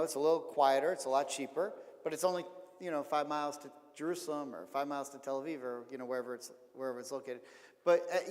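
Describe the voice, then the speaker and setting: A man's voice: fairly high pitch, long reverb tail. A man, a rabbi, giving a casual, frank talk to an audience and often repeating words as he thinks. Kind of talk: casual lecture with audience questions.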